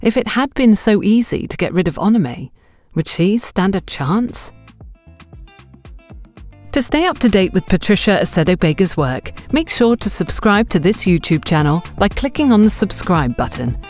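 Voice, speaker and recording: A woman's voice: mid-range voice, sing-song, normal speed, a little mellow, faint music behind. A woman narrating a scripted mystery story as an audiobook reader.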